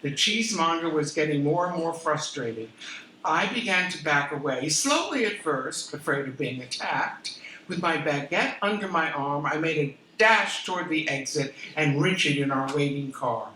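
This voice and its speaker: Animated older American woman storyteller. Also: deep voice. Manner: theatrical, expressive, with emphatic pauses. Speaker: author reading from own memoir. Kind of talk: dramatic live storytelling reading. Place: room in a historic house, before listeners. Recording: roomy, distant mic with background noise.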